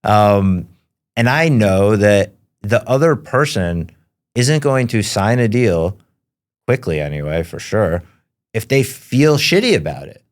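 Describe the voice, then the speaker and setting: Male podcast host, low voice; easygoing, chatty delivery with filler words. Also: expressive, slow. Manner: conversational, fillers like "like" and "right?". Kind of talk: casual interview conversation.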